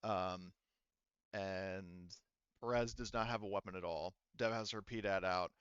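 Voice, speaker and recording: A man's voice: low-pitched, steady tone, measured pace, a bit bright, clean tone, no echo, highs cut off. A man running a tabletop game as game master, improvising narration and hesitantly searching for his words.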